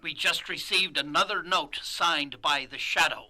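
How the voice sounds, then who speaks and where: An actor playing an urgent newspaper reporter calling in by phone in a radio drama. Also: male voice, fairly high pitch, unhurried, pushed and bright.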